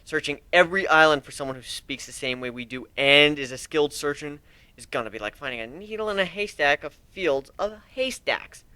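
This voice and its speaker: Animated man narrating fiction. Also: on the high side, brisk, clear, resonant tone, dry recording. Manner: expressive, wry. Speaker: amateur story narrator. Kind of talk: dramatic first-person fiction reading.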